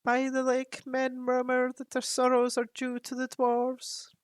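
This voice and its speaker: A woman voicing a huge, very old male raven chief who speaks with a croaking, solemn, measured voice. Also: high voice, completely flat delivery, dry recording, clean studio sound, voice pushed up. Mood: solemn warning.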